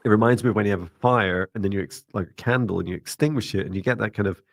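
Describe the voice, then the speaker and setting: Deep-voiced man, calm steady tone. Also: vocal fry, dry recording, studio quality. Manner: slow, meditative, rambling with fillers. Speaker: psychic mentor livestream host. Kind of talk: livestream psychic reading.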